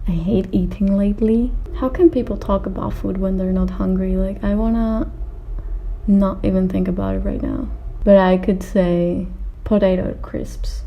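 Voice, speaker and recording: A woman's voice: mid-range voice, very animated, normal speed, creaky, highs cut off. A woman in her twenties from Austria and Greece chatting casually as a video creator, in an informal, rambling and slightly hesitant way. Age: 20s. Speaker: video creator answering viewer questions. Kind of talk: casual chat to camera.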